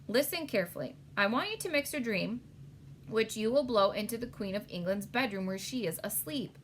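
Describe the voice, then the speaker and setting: A clever little girl from a children's storybook played by a woman reading aloud. She is excited and bossy, speaking in a high, emphatic voice as she gives instructions. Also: fairly quick, slight background noise, lightly acted. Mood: insistent.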